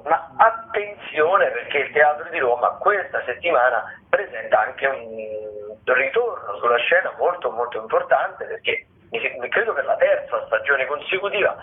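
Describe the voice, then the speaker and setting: A man hosting a radio arts show, talking through theatre listings in an informal, wordy, halting way. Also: mid-range voice. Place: radio broadcast. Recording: muffled, phone-like radio sound.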